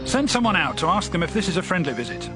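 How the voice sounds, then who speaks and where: A man's voice: high-pitched, very animated, fast talker, music in the background. A man playing a hasty, commanding ancient king in a dramatized audio Bible. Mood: urgent, commanding.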